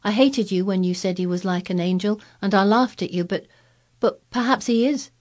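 A woman voicing an anxious, excitable sickly young boy in a storybook reading, eager and pleading. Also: medium pitch, normal speed, voice pushed up, close to an everyday voice. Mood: wistful.

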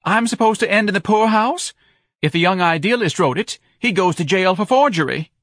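A man's voice: moderate pace, fairly bright tone, no room sound, studio quality. A man voicing an overdramatic, self-pitying middle-aged wife who wails and sobs in an audiobook. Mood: wild, furious. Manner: shrill, sobbing, melodramatic wailing. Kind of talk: audiobook character voice.

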